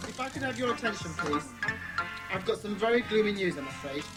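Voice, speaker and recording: A woman's voice: mid-range voice, lively intonation, brisk, loud music behind the voice, lightly acted. A woman voicing an earnest, well-spoken British schoolboy in a children's audiobook drama. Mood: businesslike. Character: Peter, earnest schoolboy leader of a children's detective club.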